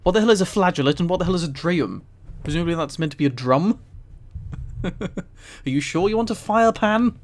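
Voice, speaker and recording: Higher-pitched man, casual and giggly. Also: expressive, brisk. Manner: giggly, easily amused. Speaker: streamer. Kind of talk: casual livestream reading with amused commentary.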